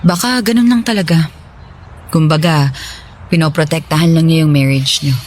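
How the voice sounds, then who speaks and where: A woman playing a gentle, forgiving friend in a radio drama, talking in a casual, reassuring way. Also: deep voice, normal speed. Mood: gentle, resigned. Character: gentle, forgiving female friend, once publicly shamed. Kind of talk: Filipino radio drama dialogue.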